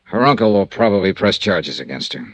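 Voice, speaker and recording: An actor playing a world-weary insurance investigator in a 1950s American radio drama, speaking in a clipped, matter-of-fact, wry way. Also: male voice, fairly low pitch, sing-song, a little fast, creaky, no room sound, heavily acted, low-quality recording. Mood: weary, subdued. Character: world-weary male freelance insurance investigator, narrating his case. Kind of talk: 1950s American radio detective narration and acting.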